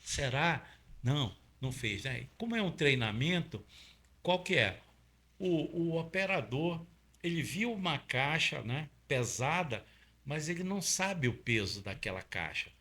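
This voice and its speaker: A man's voice: fairly high pitch, lively intonation, measured pace, bright, forceful tone. A middle-aged man from Pará with a Paraense accent, a guest in an interview, explaining step by step with many fillers and tag questions. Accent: Paraense Brazilian Portuguese. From Pará, Brazil. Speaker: guest, oil industry safety trainer.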